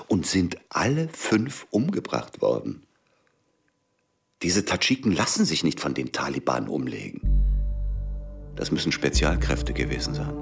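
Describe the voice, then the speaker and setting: A man's voice: very deep voice, gravelly, breathy edge, exaggerated voice. An actor playing an agitated, suspicious man in a German radio drama, brooding in broken phrases and pressing rhetorical questions. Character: agitated, suspicious man piecing together a cover-up.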